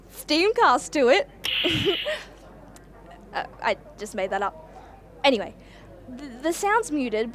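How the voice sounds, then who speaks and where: An actress with an Australian accent playing a curious, cheeky young time traveller in a radio play, narrating in a chatty, wide-eyed way. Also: female voice, fairly high pitch, natural voice. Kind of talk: radio play acting, breathless first-person narration.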